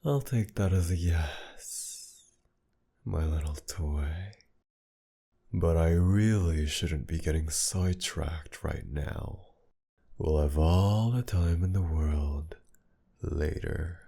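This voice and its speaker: Casting: male actor for flirty villain captor, ASMR audio drama. Dark, mellow tone, husky and breathy, possessive, teasing delivery. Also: heavily acted. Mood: teasing, seductive. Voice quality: low, gravelly, breathy. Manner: slow teasing drawl, often dropping to a whisper. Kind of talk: intimate ASMR roleplay acting.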